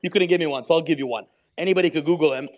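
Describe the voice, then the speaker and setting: A man's voice: on the high side, very fast. A man arguing defensively in a heated live online debate, pressing his points by repeating himself. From Israel. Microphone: online voice chat mic.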